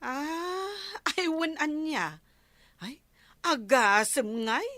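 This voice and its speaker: A woman playing a gossipy, excited village woman in a comedy radio drama, with an Ilocano accent. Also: sits high, very slow, no echo, heavily acted.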